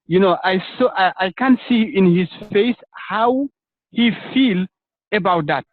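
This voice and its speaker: A man's voice: high voice, measured pace, dry recording. A man in a casual online group chat, speaking earnestly with many "you know" fillers. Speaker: chat participant. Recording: dry tinny phone-like call audio.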